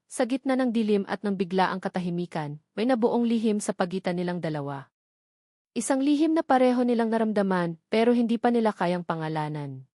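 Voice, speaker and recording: Woman reading a love story, steady voice, medium pitch, dry close sound. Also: no room sound. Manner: steady storytelling, mixing in English phrases. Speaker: narrator. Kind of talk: scripted romance story narration.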